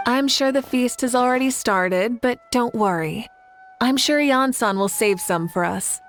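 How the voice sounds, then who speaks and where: An actress playing a composed, warm woman leader in a video game, speaking in a measured, gracious and encouraging way. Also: on the high side. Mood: reassuring, reflective. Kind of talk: video game voice acting, story dialogue. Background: music.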